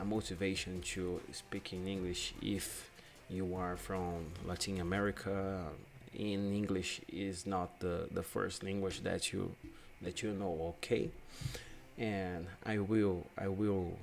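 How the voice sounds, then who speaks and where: A young man from Brazil with a Brazilian Portuguese accent, hosting a screen-share software tutorial in non-native English and often restarting his phrases. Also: sits low. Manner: hesitant, repeats and restarts phrases. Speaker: UX/UI designer and channel host.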